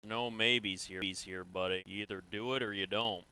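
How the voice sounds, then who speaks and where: A calm, businesslike interrogator from a sci-fi roleplay game acted by a man. The voice sits fairly low with a bright, forceful edge. He questions in a measured, conversational way, like an officer working through a case. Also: smooth, dry recording. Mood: firm, reassuring. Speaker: player in an online roleplay. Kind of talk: improvised in-character game roleplay.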